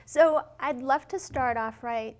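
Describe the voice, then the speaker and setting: A woman's voice: high-pitched, takes it slowly, darkish tone. A woman from Latin America hosting an interview show, asking curious, friendly questions. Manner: friendly, curious, rephrases questions with "you know". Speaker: host.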